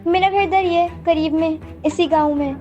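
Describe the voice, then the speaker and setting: A frightened young village girl in a radio-style audio drama. Her voice sits very high and comes out pleading and timid. Soft background music plays under her lines. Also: steady tone, normal speed, highs cut off. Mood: polite.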